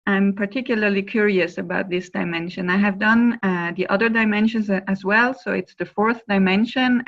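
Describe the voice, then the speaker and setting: A woman from Italy introducing herself on a group video call, chatty with fillers. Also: medium pitch. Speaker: course participant. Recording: dull call audio.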